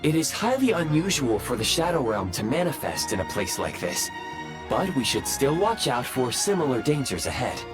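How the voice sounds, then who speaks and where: Man as polite machine guide: formal, precise, mid-pitched, lively intonation, game character with music behind. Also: lightly acted. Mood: composed. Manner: formal, measured, precise wording. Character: polite, composed robotic train conductor and helpful guide. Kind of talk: video game voice acting.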